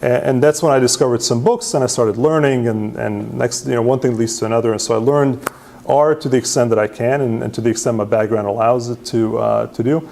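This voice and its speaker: A man presents to a meetup crowd. He is self-deprecating and casual, often tags his points with a quick "right?" and restarts his sentences as he goes. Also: mid-range voice, steady tone, gravelly, darkish tone, a little hiss or hum. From Serbia. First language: Hungarian. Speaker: presenter at user group meetup. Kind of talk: conference talk with slides.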